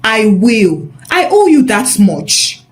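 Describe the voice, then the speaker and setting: A woman's voice: medium pitch, sing-song, takes it slowly, faint music behind. A woman voicing a conflicted, remorseful male insider with a grave, confiding manner in a story.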